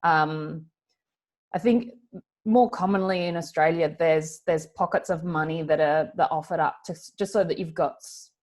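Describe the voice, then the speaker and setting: Lower-pitched woman explaining matter-of-factly. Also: normal speed, a little mellow. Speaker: tech founder, panellist. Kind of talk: explanatory panel answer. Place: online video call.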